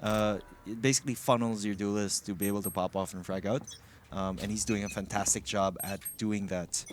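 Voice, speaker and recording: A man with a Filipino accent giving measured, explanatory live esports commentary with some Tagalog mixed in. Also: on the low side, steady tone, slight background noise. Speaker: esports shoutcaster.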